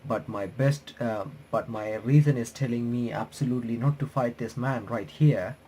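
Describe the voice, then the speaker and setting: A man reading visual novel text aloud haltingly, with casual reaction commentary. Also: mid-range voice, warm tone, slight background noise. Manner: halting read-aloud, stumbling and rereading phrases. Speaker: let's-play video creator reading a visual novel aloud. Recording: game soundtrack playing behind the voice. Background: visual novel music.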